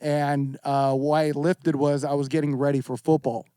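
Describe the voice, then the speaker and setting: A man in his thirties speaks as a guest on a casual fitness podcast. His voice sits a little high and is recorded dry, with little room sound. He is earnest and reflective, and leans on casual slang. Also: flat delivery, moderate pace, dark tone, clear, resonant tone, no room sound. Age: 30s. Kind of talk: candid casual podcast conversation.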